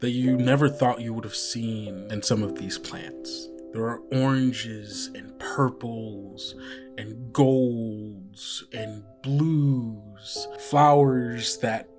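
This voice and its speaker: A man's voice: mid-range voice, steady tone, very slow, music in the background. A man narrating as game master in a casual way, with many fillers.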